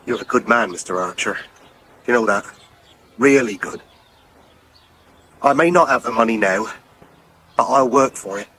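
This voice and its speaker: An actor playing a hard-up, proud farmer, blunt and plain-spoken in a radio drama. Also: male voice, medium pitch, expressive, normal speed, long pauses, sharp tone. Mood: grateful. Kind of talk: naturalistic radio drama acting. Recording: faintly noisy 1980s radio drama.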